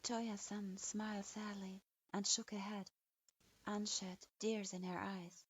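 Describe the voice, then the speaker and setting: A woman narrating a dark fan-fiction story in a slow, measured reading voice. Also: mid-range voice, level tone, slightly rough, dull audio. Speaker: fanfiction reader.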